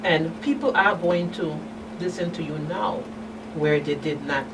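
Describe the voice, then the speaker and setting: A middle-aged woman giving candid personal testimony as an interview guest, speaking haltingly with emphatic turns. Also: fairly low pitch. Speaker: guest, survivor and advocate. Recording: distant mic, noisy room hum.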